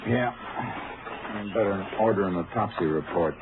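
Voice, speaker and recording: An American actor playing a methodical, no-nonsense Texas Ranger in a clipped, matter-of-fact manner in a 1950s radio drama. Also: male voice, sits low, measured pace, background noise. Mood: methodical, deliberate. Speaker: lead actor and first-person narrator. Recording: tinny, band-limited old radio recording with music.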